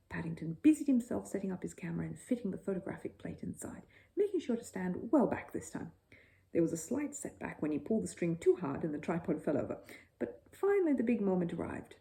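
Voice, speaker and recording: A woman reading a children's bedtime story as an expressive narrator. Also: fairly low pitch, creaky.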